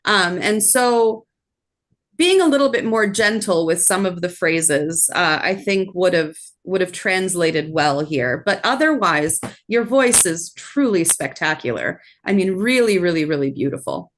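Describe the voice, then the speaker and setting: Female judge, native French speaker, unhurried and measured delivery, constructive feedback to a contestant over a video call. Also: fairly low pitch, expressive, fairly bright tone. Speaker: singing competition judge. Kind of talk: spoken critique to a contestant.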